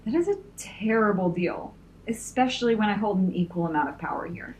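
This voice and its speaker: A woman's voice: medium pitch, expressive, brisk, darkish tone, a little hiss or hum, lightly acted, rough recording. A woman playing a cocky, defiant masked villain in an audio drama, bargaining hard with a taunting, sardonic manner.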